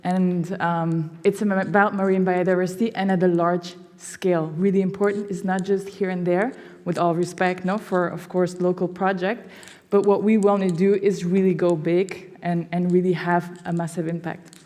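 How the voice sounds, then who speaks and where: A woman's voice: fairly low pitch, level tone, normal speed, darkish tone. A woman from Belgium giving an enthusiastic public presentation for a foundation, peppering her talk with "you know". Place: symposium hall. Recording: long hall reverb.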